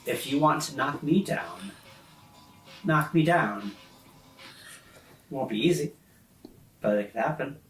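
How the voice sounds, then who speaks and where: A man playing a firm, bargaining high school student in a tabletop game, recorded off-mic in a roomy space. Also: lively intonation, slow pace, vocal fry, long pauses, recorded from a distance, soft background music, full character voice. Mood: firm, defiant. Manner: firm, negotiating. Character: determined high school kid bargaining with a bully. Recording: roomy, off-mic, faint background noise.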